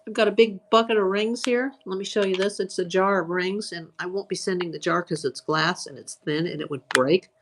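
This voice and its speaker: Woman doing a live sales stream, chatty, voice a bit worn and hoarse, rambles through her items. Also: lowish voice, fairly even intonation, brisk, few pauses, a bit bright, slight background noise. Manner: chatty, greets viewers by name, lists items. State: voice getting tired and hoarse. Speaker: online seller hosting a live auction stream. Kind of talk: casual live-selling chat.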